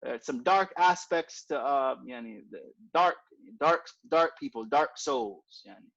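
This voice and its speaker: A man's voice: mid-range voice, lively intonation, unhurried, a bit bright. A man teaching an informal religious class in a conversational way, repeating his points and often asking "right?". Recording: dull lo-fi recording.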